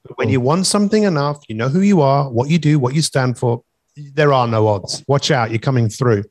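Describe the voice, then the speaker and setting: A man's voice: mid-range voice, brisk. A middle-aged man with a mid-range voice hosts a motivational session on an online call. He talks conversationally as a coach, landing points with emphasis and wandering into asides. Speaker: life coach and masterclass host.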